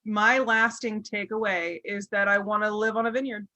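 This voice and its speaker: A woman's voice: mid-range voice, flat delivery, moderate pace, bright, forceful tone, no room sound, muffled recording. A woman joining a podcast as a guest, chatting casually and playfully. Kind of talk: casual chat.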